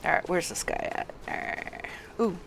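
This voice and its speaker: A woman game streamer giving a casual live walkthrough, low-key and half murmuring to herself as she plays. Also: moderate pace, noisy background.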